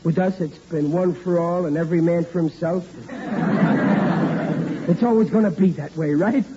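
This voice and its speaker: Man playing a high-voiced, bumbling 1940s sitcom dad. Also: normal speed, a loud crowd, lightly acted. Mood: sheepish scheming. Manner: stammering, repeats words, exasperated bluster. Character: bumbling, blustering sitcom dad, scheming and flustered. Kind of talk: 1940s radio comedy acting. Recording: dull, noisy vintage radio recording.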